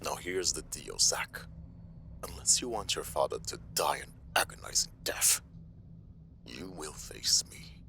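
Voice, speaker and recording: A man playing a menacing, gloating mobster villain in an audio roleplay, with slow, theatrical taunts and mocking politeness. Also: a bass voice, lively intonation, creaky, bright, forceful tone, in a whisper, full character voice. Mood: menacing taunting.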